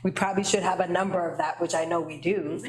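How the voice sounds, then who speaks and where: A woman's voice: on the low side, steady tone, fast, echoey room, a little hiss or hum. A woman, a library trustee whose first language is Haitian Creole, speaking at a committee meeting around a table and thinking aloud, with many tag questions. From United States.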